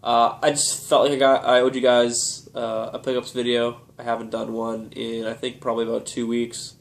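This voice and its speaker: A man's voice: medium pitch, normal speed, creaky. A young man with a cold, sounding stuffed up and nasal, chatting casually through a collector's show-and-tell vlog with lots of "uh"s. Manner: relaxed, rambling, many "uh"s. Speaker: video game collector vlogger.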